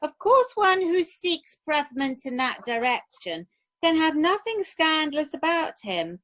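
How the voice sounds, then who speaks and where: A woman acts out a haughty Edwardian lady guest in a radio play. She gossips formally and archly, in a high, lively, swooping voice, recorded dry and close. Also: measured pace, no echo, exaggerated voice. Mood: arch, inquisitive. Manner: arch, formal, theatrical. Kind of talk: amateur radio play acting.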